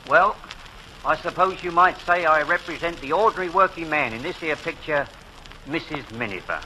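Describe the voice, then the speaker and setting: An actor playing a humble, plucky village grocer with an English working-class accent, folksy and earnest in 1940s radio style. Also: male voice, fairly high pitch, expressive, normal speed, background noise, natural voice.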